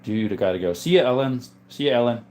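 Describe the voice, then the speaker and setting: A man hosting a casual livestream from a room full of guns, reading viewer comments aloud in a relaxed, joking way. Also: mid-range voice, quick pace, slight background noise. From Arizona. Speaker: livestream host reading chat. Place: indoors, room with guns on display.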